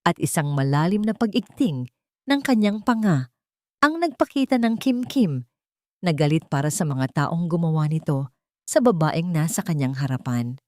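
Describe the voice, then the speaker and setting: A woman narrates a dramatic audio story. Her voice sits a little low, and the sound is dry, with very little room. She reads in a measured, literary storytelling manner. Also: very animated, a little mellow, no room sound.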